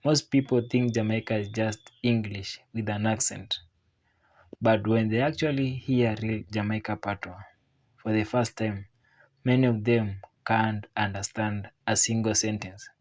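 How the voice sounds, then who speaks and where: A narrator delivers a scripted explainer at a medium pitch. The reading is measured and informative in style, set out in clear statements. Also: fairly even intonation, darkish tone.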